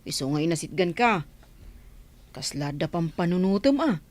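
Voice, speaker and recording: A woman's voice: fairly low pitch, expressive, normal speed, darkish tone, close to an everyday voice. An actress playing a teasing young village woman who mocks her friend in a comedy radio play. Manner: teasing, mocking.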